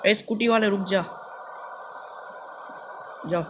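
A man's voice: high voice, steady tone, takes it slowly, a bit bright. A man with an Indian accent giving casual live gameplay commentary as a video host, often repeating phrases and mixing in English words. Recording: noisy amateur screen recording with ambient sound.

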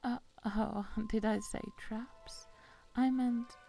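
A woman playing a falsely caring young hostess of a haunted manor, hushed and halting, in whispered horror ASMR roleplay. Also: a little high, fairly even intonation, gravelly, soft background music. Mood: feigned concern. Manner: hushed, halting, eerily solicitous.

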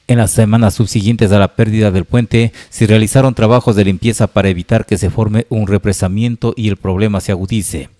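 A man's voice: lowish voice, mellow. A man reading a formal, scripted news report as a reporter.

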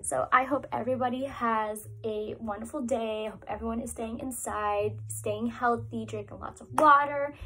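A young woman, a preschool teacher, reading a picture book aloud to small children at home in a gentle, animated storytime voice. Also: a little high, moderate pace, hardly any pauses, a little hiss or hum, low-quality recording. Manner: gentle, animated storytime voice, lifts up on repeated lines. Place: small room at home, indoors.

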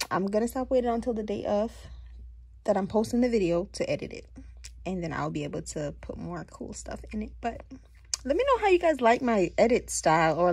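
A woman's voice: medium pitch, darkish tone, husky. A young adult woman vlogging casually to her viewers, chatty and upbeat, filmed on a camera held at arm's length. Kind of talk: casual vlog chat to camera. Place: car, bedroom and patio in a hot place.

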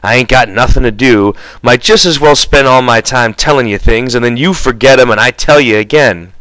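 A man voicing a small, sharp, irritable itinerant ranch hand who scolds in folksy rural slang. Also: mid-range voice, moderate pace, no room sound, lightly acted, low-quality recording. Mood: exasperated.

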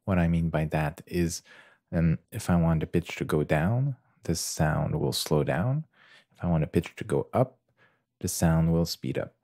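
A man presenting a casual software tutorial in a hesitant, halting way with many pauses. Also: sits low, expressive, no echo, clean studio sound.

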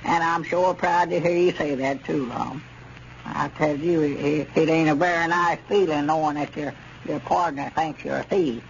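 An actor playing a folksy, sheepish small-town storekeeper in a hesitant rural Southern drawl, in old radio comedy style. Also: male voice, a little high, fairly even intonation, moderate pace, creaky. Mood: explaining, earnest. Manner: hesitant, stammering, chuckling country talk. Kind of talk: 1940s radio comedy acting. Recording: dull, noisy old radio recording.

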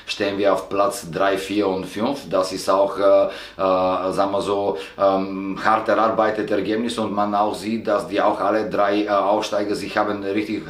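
A man, a football coach giving a halting press interview full of filler sounds. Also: fairly low pitch. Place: indoor stadium media room, sponsor wall. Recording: echoey room.

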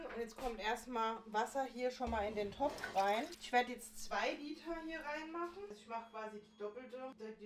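A woman in her thirties with a somewhat high voice talks informally to her vlog viewers. She explains things as she goes, in a casual way. The recording is made at home, with noticeable noise in the background. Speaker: vlogger. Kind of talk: casual vlog chat while cooking and unboxing. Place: indoors at home, kitchen and living room. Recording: clear background hiss and kitchen noise.

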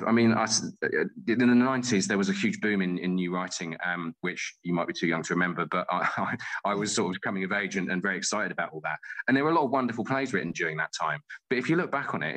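A low-pitched British man speaks as an interview guest. His tone is bright and forward, and he is earnest and articulate, often reworking a sentence as he thinks it through. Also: lively intonation, fast talker, rough recording. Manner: earnest, articulate, thinking aloud with self-corrections. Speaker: interview guest, playwright and theatre company director. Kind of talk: conversational interview answer, reflective.

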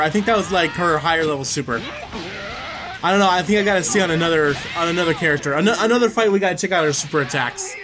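A man commentating on a game he plays, exclamatory and thinking aloud. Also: high voice. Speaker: gaming video host. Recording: game music behind the voice.

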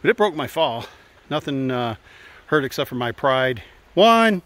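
A man's voice: medium pitch, sing-song, unhurried, fairly bright tone. A man vlogging casually while hiking a forest trail, chatting into his phone and sometimes out of breath. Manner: chatty, narrating while walking. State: out of breath at times. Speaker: hiker vlogger. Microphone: handheld phone. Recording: faint wind and stream noise.

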